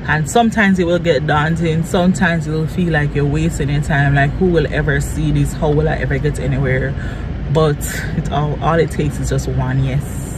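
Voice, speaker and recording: A man talking casually and earnestly in a motivational vlog, repeating phrases for emphasis. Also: on the high side. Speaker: small business vlogger and design trainer. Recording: clear vehicle and outdoor noise.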